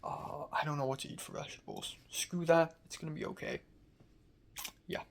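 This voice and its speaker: A man's voice: on the high side, fairly even intonation, normal speed, gravelly. Young male vlogger, American accent, grew up in Hong Kong; loose, rambling diary talk with fillers and swearing. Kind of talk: casual video diary.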